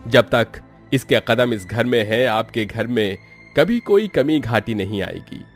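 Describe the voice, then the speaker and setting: Guy doing a dignified priest in a cartoon, normal pitch, kind and formal, music in back. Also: animated, measured pace. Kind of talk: Hindi moral-story cartoon dialogue.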